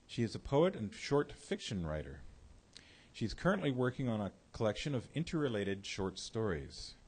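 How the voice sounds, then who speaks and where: Male open-mic host, lowish husky voice, animated intro. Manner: announcing a guest bio with brief hesitations. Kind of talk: introducing a guest.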